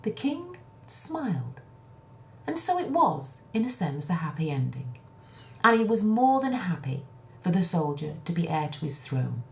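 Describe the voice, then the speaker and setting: Low, expressive woman storyteller with creaky edge. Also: unhurried, echoey room. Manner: theatrical, repeats words for suspense. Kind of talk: dramatic children's storytelling.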